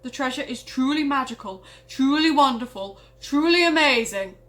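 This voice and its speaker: A woman voicing a giant cursed fridge creature, gentle, grinding and portentous, in a parody story. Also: sits high, animated, moderate pace. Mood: grandiose.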